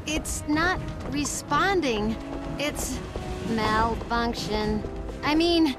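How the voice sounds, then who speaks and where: Young creature inventor, high, lively and eager, game cutscene with music behind. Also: female voice, extremely slow, heavily acted. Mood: alarmed. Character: eager young female asura inventor-pilot, small goblin-like. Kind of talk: video game cutscene acting.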